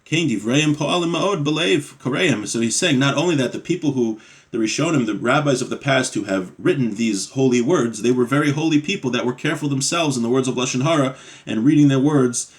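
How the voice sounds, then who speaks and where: A man's voice: medium pitch, a little fast. A man teaching a weekly religious study class. He reads passages in Hebrew, then translates and explains them in conversational English, often checking in with "right?" and "you know.".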